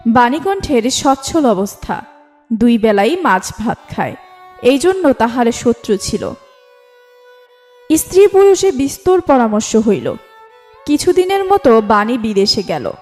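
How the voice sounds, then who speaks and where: A woman's voice: a little high, sing-song, measured pace, pauses often, darkish tone. A woman reading a scripted literary story as narrator, in a formal storytelling delivery. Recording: music bed under the voice. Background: instrumental music.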